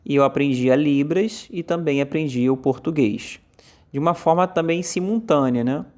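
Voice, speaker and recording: A Brazilian man interpreting a deaf speaker's talk aloud in first person, in short halting phrases with frequent fillers. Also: on the high side, a little mellow. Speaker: sign language voice interpreter.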